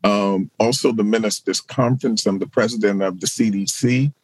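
A man's voice: lowish voice, moderate pace, dry recording, rough recording. A middle-aged man from Baltimore, a pastor and social work professor, answering interview questions in a reflective way with fillers and restarts. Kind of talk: interview guest.

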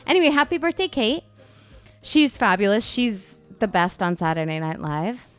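A woman co-hosting a podcast, chatting casually and comedically in an animated, expressive way. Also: medium pitch, normal speed, smooth. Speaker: podcast co-host.

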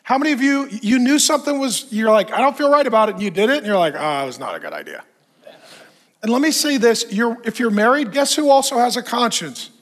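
A man preaching a sermon to a congregation, folksy and self-mocking with quick asides, while he is losing his voice and keeps clearing his throat. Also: extremely high pitch, sing-song, fairly bright tone. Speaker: pastor. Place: church in front of a congregation.

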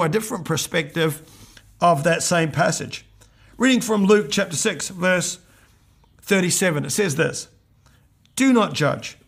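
An older male pastor preaching a sermon in a hoarse, croaky voice, reading scripture earnestly and with emphasis. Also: sits high, a bit bright.

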